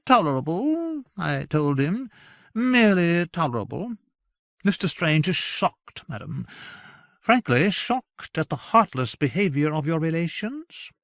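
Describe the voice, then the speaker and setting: A man voicing a fawning, effusive male gentleman who flatters and exclaims. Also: high-pitched, no echo, clean studio sound, pitched above the performer's own voice.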